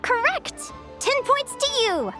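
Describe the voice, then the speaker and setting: A woman's voice: very high-pitched, very animated, slow, pushed and bright, faint music behind, full character voice. A woman voicing a cheerful young female advisor in a video game, with an upbeat quiz-host teaching manner.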